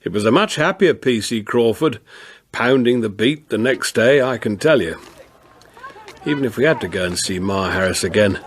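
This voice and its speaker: An actor playing a kindly, shrewd older police constable in a radio drama, homely and plain-spoken. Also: male voice, lowish voice, lively intonation, moderate pace, a little hiss or hum, close to an everyday voice. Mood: content narrating. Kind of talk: radio drama acting with some narration.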